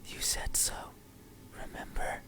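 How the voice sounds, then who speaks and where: A performer voicing a creepy, obsessive supernatural entity in a slow, deliberate, breathy whisper for a horror ASMR game. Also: pushed and bright. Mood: menacing.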